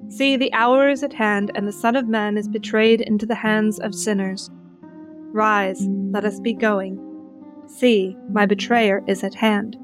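A woman's voice: mid-range voice, fairly even intonation, unhurried. A woman narrating, giving a dramatic reading from the Bible. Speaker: scripture narrator. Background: plucked guitar music.

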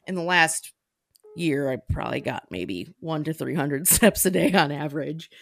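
A woman's voice: low voice, animated, normal speed, creaky, fairly bright tone, no echo. A young woman hosting a podcast, explaining things in a casual, slangy, playful way. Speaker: podcast host.

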